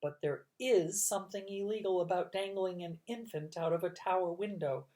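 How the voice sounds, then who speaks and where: A woman's voice: lowish voice, normal speed, close to an everyday voice. A woman voicing a kind, firm older judge. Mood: indignant. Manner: indignant yet warm and steady.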